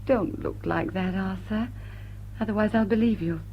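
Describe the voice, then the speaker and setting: An actress playing an enigmatic, teasing society widow in a period drawing-room drama, coolly witty and playful. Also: female voice, mid-range voice, moderate pace, husky. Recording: dull, muffled audio.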